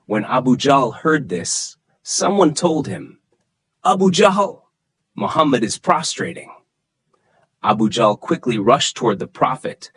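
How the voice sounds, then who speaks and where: A man's voice: lowish voice, husky. A man narrating a scripted religious story for children in a dramatic storytelling manner.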